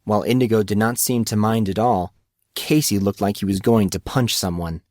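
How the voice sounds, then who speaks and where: A man's voice: on the low side, normal speed, a little mellow, dry recording. Man narrating fiction in a close, dry recording. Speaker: audiobook narrator. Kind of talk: scripted story narration with character voices.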